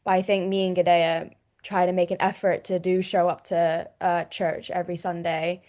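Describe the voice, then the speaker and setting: A woman's voice: fairly low pitch, little pitch movement, normal speed, a little mellow. A young woman student from Hong Kong, giving an earnest personal faith testimony with fillers like "you know.". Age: young adult.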